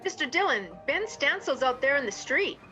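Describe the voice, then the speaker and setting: Woman playing Chester, high, lively western sidekick. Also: moderate pace, highs cut off, heavily acted. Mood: urgent. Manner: folksy, excitable. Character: folksy, eager, gossipy marshal's sidekick Chester. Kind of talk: amateur western radio play acting. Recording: student radio play with music behind.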